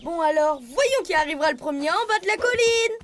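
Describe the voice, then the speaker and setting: A woman's voice: very high-pitched, expressive, fairly quick. A woman voicing a cheerful, friendly young boy leopard cub in a cartoon, eager and playful. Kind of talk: animated series dub. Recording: music behind the voice.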